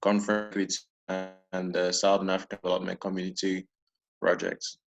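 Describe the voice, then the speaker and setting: A man in his twenties from Cameroon introducing himself in a measured, flat way on a video call. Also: sits low, gravelly. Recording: dull video call audio.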